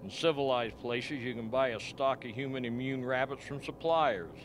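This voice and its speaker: A man acting a grim, frank scientist in an amateur radio play, explaining things deliberately. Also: mid-range voice, background noise, close to an everyday voice. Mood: measured explaining. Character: grim, frank male scientist doctor at a polar outpost.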